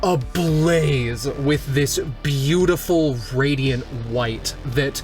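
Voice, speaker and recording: A man playing a tabletop roleplaying game, describing his character's actions in a deliberate, descriptive way. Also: medium pitch. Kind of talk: tabletop play, narrating own character's actions. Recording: music playing behind. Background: background music.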